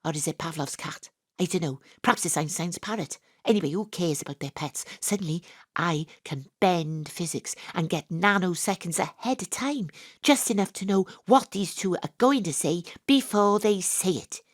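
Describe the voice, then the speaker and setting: A brash woman private detective, played by a woman narrates in a breezy, sweary, irreverent way. Her voice is fairly low. The sound is dry and close, as in a home studio. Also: normal speed, few pauses, dry recording, close to an everyday voice. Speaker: narrator and lead character. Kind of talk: first-person comic audio drama narration.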